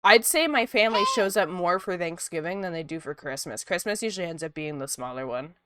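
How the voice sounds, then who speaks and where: A woman's voice: fairly low pitch, a little fast, a little hiss or hum. A woman from Ontario, a sniffly art streamer chatting casually with her viewers while she draws. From Canada, Ontario. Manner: chatty, lively, reads chat aloud.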